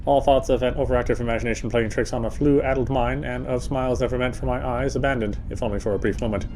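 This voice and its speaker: Male gameplay narrator, average pitch, measured read-aloud storytelling, visual novel text. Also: steady tone, background noise. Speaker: gameplay streamer reading aloud.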